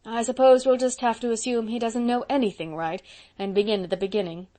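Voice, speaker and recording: A woman reading aloud and voicing a practical older woman who speaks in a quiet, brisk, matter-of-fact way. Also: a little high, steady tone, natural voice.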